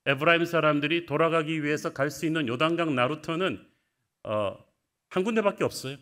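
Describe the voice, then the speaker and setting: Brief Middle-aged pastor, sermon delivery, lively storytelling, voice slightly high for a man. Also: brisk. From Gyeongsang, South Korea. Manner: storytelling, vivid retelling of scripture.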